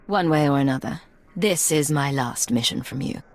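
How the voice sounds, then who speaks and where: An actress playing a cool, resolute secret agent in a video game, terse and controlled. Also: female voice, deep voice, normal speed. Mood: cool, guarded.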